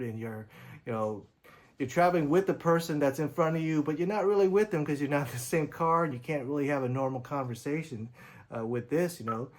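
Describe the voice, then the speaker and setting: Male gear reviewer, mid-pitched voice, casual demo style, conversational, loosely rambling explanations. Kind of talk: casual product demo talk.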